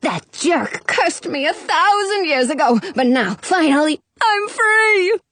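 A woman voicing an obnoxious old male genie in a storybook, with a theatrical, excitable manner. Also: sits high, takes it slowly, dry recording, pitched above the performer's own voice, full character voice. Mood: gleeful, triumphant. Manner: gruff, sarcastic, theatrical exclamations. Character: grumpy, obnoxious old male genie turning gushingly joyful.

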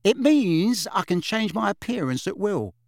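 A man's voice: sits high, very animated, measured pace, creaky, no room sound, clean studio sound, voice pushed up, heavily acted. A man voicing a cheeky, clumsy young witch in an audiobook, with a chatty, blunt, colloquial manner. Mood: breezy, frank. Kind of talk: audiobook character voice.

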